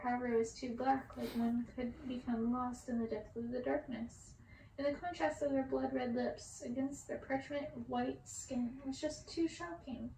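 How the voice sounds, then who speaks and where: A woman's voice: sits high, mellow. A woman narrating a story, reading it aloud. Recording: roomy, distant mic, faint noise.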